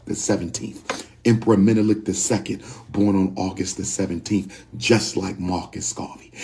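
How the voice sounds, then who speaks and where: A man's voice: lowish voice, vocal fry, a little mellow. A man in his own voice delivering a fiery motivational talk, repeating phrases over and over for emphasis. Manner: repetitive, rousing, hammering phrases for emphasis. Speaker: speaker promoting group tours.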